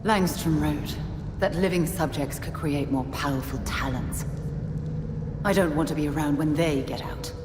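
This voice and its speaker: An actress playing an aloof, cryptic woman informant tied to an assassin league in a video game, measured and ominous. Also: deep voice, music in the background, lightly acted. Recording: echoey, reverberant, noisy game audio.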